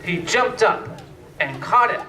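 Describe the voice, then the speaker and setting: A man narrating a scripted fairytale slowly and deliberately, with pauses, as a storyteller. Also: sits high, expressive, bright, forceful tone. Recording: echoey room, distant mic, faint noise.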